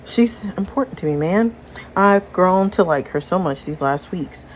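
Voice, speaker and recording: A woman's voice: lowish voice, expressive, measured pace, slight background noise. A woman voicing an earnest, anxious young bad boy who has fallen in love, in a romance story read-aloud.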